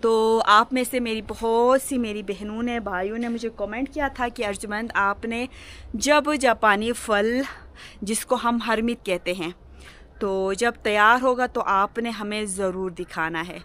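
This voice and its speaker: गिलगित-बल्तिस्तान की एक महिला व्लॉगर, गला बैठा हुआ, नरमी से बात करती है और बार-बार "जी" बोलती है।. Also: female voice, a little high, a little slow. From Gilgit-Baltistan, Pakistan. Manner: soft-spoken, fills with polite "ji". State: hoarse, sore throat. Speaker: village vlogger. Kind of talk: casual vlog narration. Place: home village, partly outdoors on rooftop and in garden.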